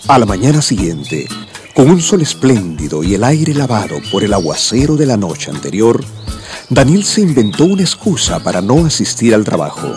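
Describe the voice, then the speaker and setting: A man's voice: lowish voice, sing-song, moderate pace. A man giving scripted dramatic narration for a mystery radio play. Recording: music bed behind the voice.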